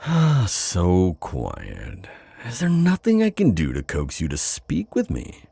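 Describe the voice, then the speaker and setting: Man acting a very deep, gravelly, teasing predator. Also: huge pitch swings, dark tone, no echo, full character voice. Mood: teasing, menacing. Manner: slow, taunting, smooth-talking with drawn-out pauses. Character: teasing, predatory male buyer at a supernatural auction. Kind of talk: flirty ASMR roleplay, intimate acting.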